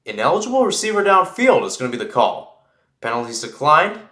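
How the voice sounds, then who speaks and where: A man's voice: a little high, big pitch swings, fairly quick, pushed and bright, roomy sound. A man giving live play-by-play football commentary in an informal way, thinking aloud and correcting himself as he goes.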